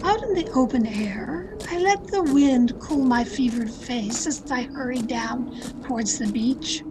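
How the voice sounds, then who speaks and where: A woman's voice: sits high, a little slow, background noise. A woman playing a thrill-seeking young woman who narrates her murder tale with dramatic, breathless intensity in old radio suspense style. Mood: tense. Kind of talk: 1940s radio suspense acting, scripted first-person narration. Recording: video-call radio play with music underneath. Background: dramatic music.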